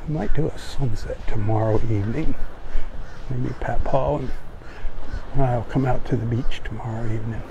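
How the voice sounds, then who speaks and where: A man hosting a live beach walk, chatting in a relaxed, folksy, cheerful way while filming outdoors on a phone. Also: medium pitch, normal speed, creaky, lo-fi sound, noisy background. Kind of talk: casual live narration while walking. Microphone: phone camera held while filming.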